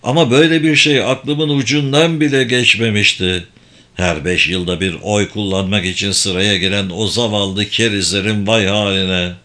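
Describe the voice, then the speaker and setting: A man's voice: lowish voice, fairly even intonation, moderate pace, pushed and bright, pitched below the performer's own voice. A man voicing a sardonic, distrustful young thief in an audiobook, with a sceptical, cutting delivery.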